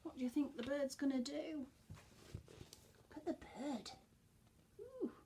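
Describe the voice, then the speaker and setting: A woman reading a storybook to young children as a teacher would, expressively, with hushed, chatty asides to her listeners. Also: high-pitched, sing-song, a little slow, a little hiss or hum.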